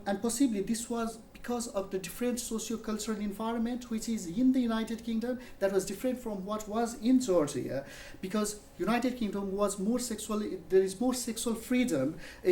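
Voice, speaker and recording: A man with a Nepali accent delivers a measured university lecture, pausing often on fillers and restarting phrases. His voice carries the echo of a large room and sounds set back from the microphone, with a faint hum underneath. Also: high-pitched, dark tone. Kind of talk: academic public lecture. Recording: echoey room, mic at a distance.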